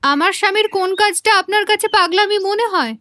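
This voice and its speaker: A very high female cartoon voice, acted by a woman, as a village wife loyal to her family. She is indignant and defensive. Her delivery challenges the listener. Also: fast, bright, forceful tone, dry recording, studio quality. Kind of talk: Bengali moral-story cartoon dubbing.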